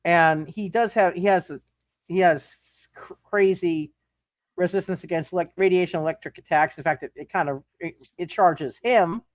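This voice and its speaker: Halting game master with a close, dry sound. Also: mid-range voice, no echo. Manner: halting, repeats words and phrases. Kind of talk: live tabletop roleplay game narration and rulings.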